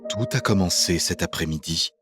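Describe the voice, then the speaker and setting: A low-voiced, solemn mentor acted by a man tells things in measured, knowing, dramatic lines. Music runs behind the voice. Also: close to an everyday voice. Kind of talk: dramatic scripted voice acting.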